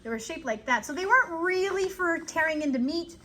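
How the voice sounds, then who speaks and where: An animated woman hosting an informal live lesson for children, chatty and often checking in with questions. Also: extremely high pitch, a little fast, a little hiss or hum. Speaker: children's educational show host.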